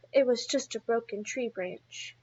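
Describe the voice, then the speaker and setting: A woman teacher reading a storybook aloud to young children in an expressive way, speaking to them directly. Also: fairly high pitch, slow pace, slightly rough, lo-fi sound.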